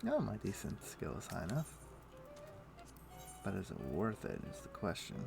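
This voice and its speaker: A man's voice: on the low side, wildly swooping pitch, normal speed, gravelly, music in the background. A man livestreaming a video game, casually thinking aloud in short muttered phrases as he plays, speaking into a desk microphone. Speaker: livestream host. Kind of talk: casual gaming commentary, talking to himself while playing.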